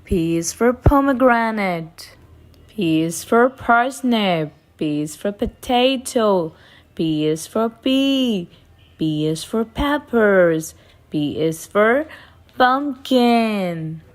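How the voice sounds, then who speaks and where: Very slow-paced woman reading to young children. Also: medium pitch, animated. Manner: deliberate, pausing between short phrases. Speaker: host reading children's books aloud. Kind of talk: read-aloud for preschool children.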